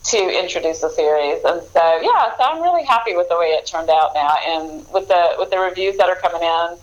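A woman's voice: fairly low pitch, lively intonation, fairly quick, dull audio. A woman author being interviewed, talking casually with many fillers and restarts. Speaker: author guest.

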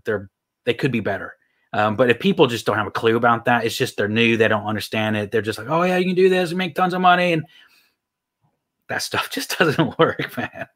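A man's voice: mid-range voice, a bit bright. Rambling man with a mixed accent. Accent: mixed Texan, Irish and British. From United States. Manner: rambling, many restarts. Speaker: online marketing guest. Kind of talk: casual interview talk.

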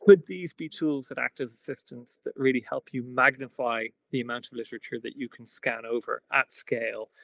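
A man answering as a guest expert on a podcast, explaining fluently and quickly. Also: medium pitch, steady tone, creaky.

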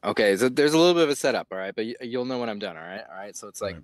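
A man's voice: fairly low pitch, animated, racing, no room sound. A man in a casual online voice chat, teasing and crude, prone to theatrical mimicry of other people. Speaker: co-host or guest in a live voice chat.

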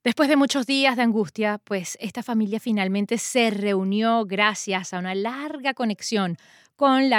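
A woman radio presenter reading a news segment in Spanish with a measured delivery. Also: medium pitch, no echo, studio quality.

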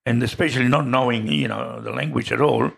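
An older man with an Italian accent, recounting his migration in an oral history interview, halting with many fillers. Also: medium pitch, steady tone, creaky, a bit bright, slightly rough.

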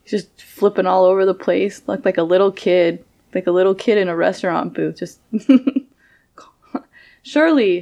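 Female podcast co-host, slightly low, clear carrying voice, wry and animated, laughs easily. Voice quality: voice carries clearly from a distance. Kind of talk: casual chat.

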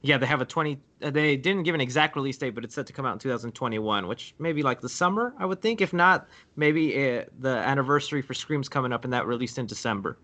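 A man's voice: mid-range voice, expressive, fast, hardly any pauses, sharp tone. A man co-hosting a casual film chat, explaining things in a matter-of-fact way. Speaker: podcast co-host.

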